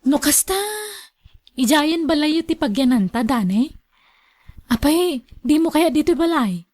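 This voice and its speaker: A young wife in an Ilocano radio drama. Her voice is high-pitched, and she talks politely and a little hesitantly, like a daughter-in-law minding her manners. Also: expressive, a little slow, a little mellow. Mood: questioning, agreeing.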